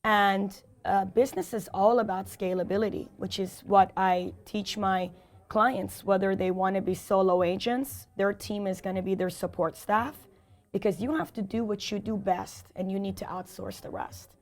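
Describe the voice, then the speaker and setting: A hoarse woman speaks as a sales coach in an interview, her voice worn from talking all day. She talks in a driven, motivational way and hammers her points home by repeating them. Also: mid-range voice, fairly even intonation, moderate pace. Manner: emphatic, motivational, repeats key phrases. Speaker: sales and leadership coach, guest. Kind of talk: energetic interview answers.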